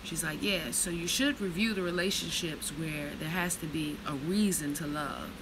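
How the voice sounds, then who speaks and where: Woman reading aloud over road noise. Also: low voice. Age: 30s. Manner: slow reflective reading, then blunt profane chatter. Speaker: vlogger reading from a book. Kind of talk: reading aloud then casual storytelling.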